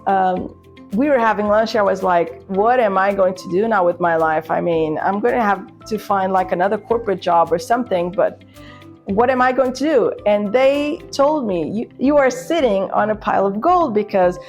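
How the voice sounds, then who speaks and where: A woman in her forties from Portugal tells a candid personal story in fluent second-language English. Her voice sits at a middle pitch, and she acts out bits of dialogue as she goes. Soft music plays underneath. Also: moderate pace, dull audio. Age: 40s. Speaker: business owner telling their career story.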